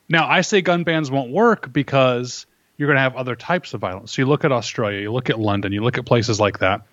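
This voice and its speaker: A man speaking as an interview guest, laying out arguments in a measured, conversational way and checking his points with rhetorical questions. The recording is close and dry, but slightly dull and lo-fi. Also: medium pitch, no room sound. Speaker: interview guest, firearms instructor and writer. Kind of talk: conversational interview, explaining opinions.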